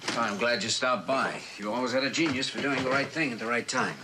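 An actor playing a driven, weary, idealistic scientist in a mid-century television drama, terse and authoritative. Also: male voice, mid-range voice, quick pace, background noise, lightly acted. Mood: grave, earnest.

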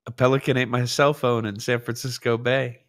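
A man's voice: medium pitch, little pitch movement, brisk, a bit bright. A man on a comedy podcast panel, bantering casually and reading posts aloud to the group.

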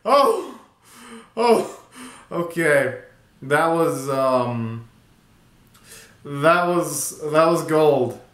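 A man's voice: fairly high pitch, animated, very slow, long pauses, a bit bright. A young man giving casual, slangy and exclamatory reaction commentary as a video host, recorded in a small bedroom. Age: young adult. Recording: roomy, echoey bedroom sound.

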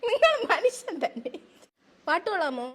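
A woman's voice: extremely high pitch, sing-song, moderate pace. A woman making a playful prank call. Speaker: prank caller.

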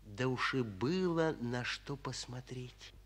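An actor narrating a 1940s children's radio play as a kindly storyteller, expressively addressing young listeners. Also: male voice, medium pitch, expressive, slow pace, a bit bright, slightly rough, exaggerated voice. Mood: storytelling.

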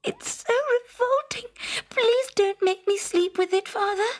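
A woman's voice: very high-pitched, unhurried, voice pushed up. A woman voicing a spoiled, squeamish young princess in a storybook reading, snappish and exclaiming, petulant and tearful. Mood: tearful pleading. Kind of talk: storybook character acting.

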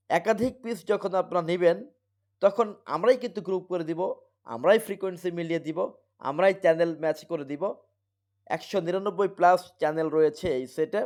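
A man with a Bangladeshi accent presents a product as its seller. His voice sits somewhat high for a man. He explains in an emphatic, repetitive way, driving each selling point home. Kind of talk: sales pitch, product demonstration.

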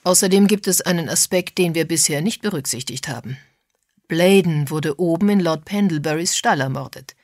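Woman reading as composed, husky amateur sleuth man. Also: deep voice, normal speed, no echo, clean studio sound. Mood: brisk, methodical. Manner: methodical, explanatory.

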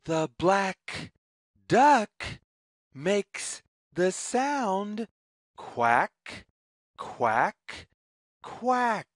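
A storyteller reading a children's read-along story in deliberate, sing-song phrases with pauses. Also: medium pitch, sing-song, no echo, studio quality. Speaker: read-aloud narrator.

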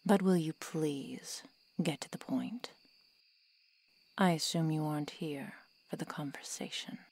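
Cold, terse vampire woman , acted by a woman for ASMR roleplay: lowish, breathy, commanding, unhurried. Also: darkish tone, heavily acted. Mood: impatient. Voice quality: husky, often half-whispered. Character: curt, impatient, menacing female vampire.

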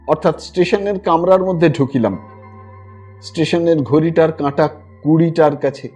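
Male audiobook narrator, high-pitched and husky, theatrical literary storytelling over background music. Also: a little mellow. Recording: music bed behind the voice.

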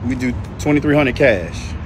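Lively, exasperated bargainer played by a man. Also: medium pitch, normal speed, lightly acted. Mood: bargaining. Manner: insistent, repeats himself. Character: exasperated, hard-bargaining man in his thirties, a customer's boyfriend. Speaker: comedy skit actor. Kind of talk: improvised comedy sketch dialogue. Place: outdoor parking lot. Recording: clear outdoor parking lot noise.

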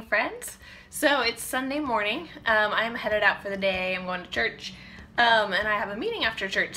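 Bright-voiced woman chatting casually to camera. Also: medium pitch, slight background noise. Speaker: fitness vlogger. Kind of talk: casual chat to camera, product demonstration. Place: home kitchen, indoors.

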